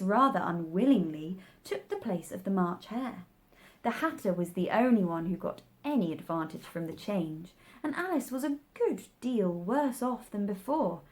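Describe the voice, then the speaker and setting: Animated woman reading a storybook. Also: mid-range voice. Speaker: storybook reader. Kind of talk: scripted story narration.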